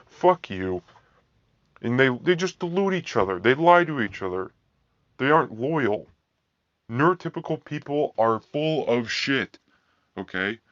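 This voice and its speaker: A man ranting angrily in a profane, repetitive monologue, his voice flat and monotone. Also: medium pitch, unhurried, long pauses, no echo. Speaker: vlogger. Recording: dull lo-fi dry recording.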